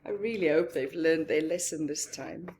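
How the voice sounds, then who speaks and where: A woman reading a picture book aloud to young children, expressively, with asides to her listeners and hushed whispers. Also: low voice, slight background noise. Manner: acts out characters, pausing for asides to listeners. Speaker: children's story reader.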